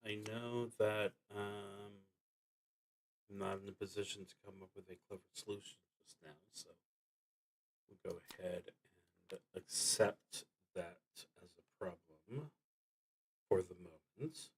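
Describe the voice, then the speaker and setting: Guy streaming a solo rpg, kinda low voice, real slow, thinks out loud w lots of pauses. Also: poor audio. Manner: hesitant, thoughtful, frequent long pauses. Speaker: streamer, solo roleplaying game player. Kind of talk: musing aloud while playing a solo tabletop game, reading out card prompts.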